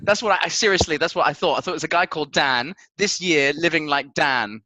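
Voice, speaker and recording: A man hosting a football podcast with casual, excitable, jokey banter and a halting delivery. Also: fairly high pitch, dry recording. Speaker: podcast host.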